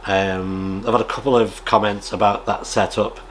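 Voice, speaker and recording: A British man chatting casually as a radio hobby vlogger, rambling in a halting way and often clearing his throat. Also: fairly low pitch, little pitch movement, a bit bright, slight background noise. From United Kingdom. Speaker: amateur radio vlogger and presenter. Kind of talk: casual rambling chat, reading out viewer comments.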